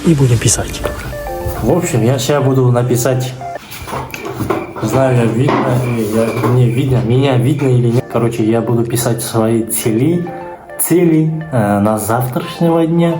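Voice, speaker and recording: Halting man vlogging. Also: medium pitch, over music, poor audio. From Kazakhstan. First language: Kazakh. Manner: halting, searching for words, many fillers. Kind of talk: casual vlog talk.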